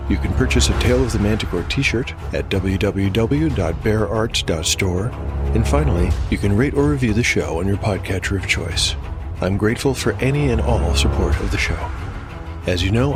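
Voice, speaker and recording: A man narrating and hosting a fantasy audio drama podcast, reading from a script. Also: lowish voice. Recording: faint music underneath. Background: faint music.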